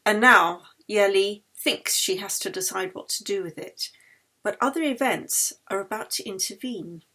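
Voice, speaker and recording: A woman reading her own novel as expressive audiobook narration. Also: medium pitch, moderate pace.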